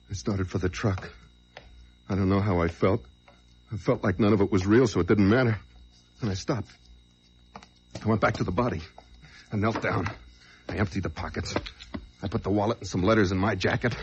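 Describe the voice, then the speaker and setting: An actor playing a desperate, brooding fugitive killer in an old-time radio crime drama, given to overwrought outbursts. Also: male voice, lowish voice, steady tone, moderate pace, long pauses, slightly rough. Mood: brooding, tense. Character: desperate fugitive man who killed a truck driver. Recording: dull, noisy old radio recording.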